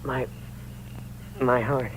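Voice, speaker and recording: A man's voice: mid-range voice, fairly even intonation, extremely slow. An actor playing a mocking, wealthy man with a weak heart who needles his companion with dry wit. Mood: dying, mocking. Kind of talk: 1950s radio drama acting. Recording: dull, noisy old radio recording.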